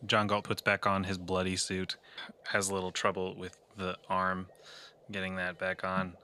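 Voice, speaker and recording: A man's voice: sits low, completely flat delivery, normal speed, sharp tone, clear, resonant tone. A man talking casually in and out of character as a player in a tabletop game.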